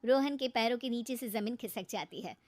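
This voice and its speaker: High-voiced woman narrating a cartoon story. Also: fairly quick, a little mellow.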